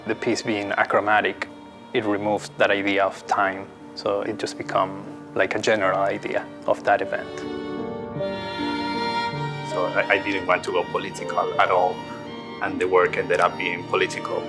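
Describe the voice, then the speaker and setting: A man's voice: low-pitched, completely flat delivery, normal speed. A man from Ecuador, an artist speaking reflectively in a documentary interview with frequent restarts. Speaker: visual artist. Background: guitar music.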